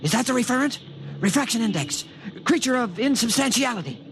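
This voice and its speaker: An actor playing an invisible alien creature in a 1950s radio drama, excitable and halting as it fumbles for human words. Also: mid-range voice, close to an everyday voice. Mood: eager, searching. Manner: urgent, halting, searching for words.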